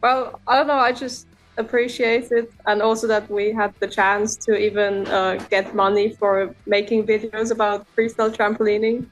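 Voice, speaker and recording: A woman's voice: medium pitch, level tone, normal speed, poor audio. Young female athlete, modest and hesitant, mid-range voice, faint music behind. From Austria. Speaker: trampoline athlete guest. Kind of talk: casual interview answers.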